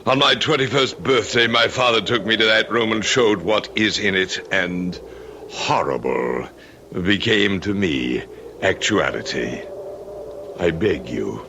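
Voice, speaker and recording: An actor playing a grave, courtly lord of a cursed manor in old radio drama style, speaking with portentous deliberation. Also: male voice, low voice, animated, a little slow, sharp tone, slight background noise, full character voice. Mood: stern warning.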